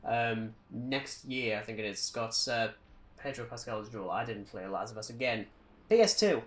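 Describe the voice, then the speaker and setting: A man's voice: lowish voice, expressive, normal speed. A man co-hosting a podcast, chatting casually about films and games in a blunt, opinionated way. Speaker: podcast co-host. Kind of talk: casual film and game chat.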